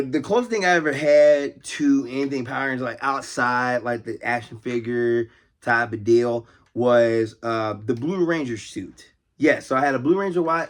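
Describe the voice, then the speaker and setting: An American man hosting a casual, unscripted vlog as a toy collector, talking in a rambling, conversational way and often repeating himself. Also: medium pitch. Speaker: toy collector and video host.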